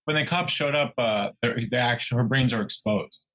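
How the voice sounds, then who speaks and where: A man with a medium-pitched voice comments on a true crime case as a podcast co-host. He talks conversationally and haltingly, laying out details piece by piece. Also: fairly bright tone.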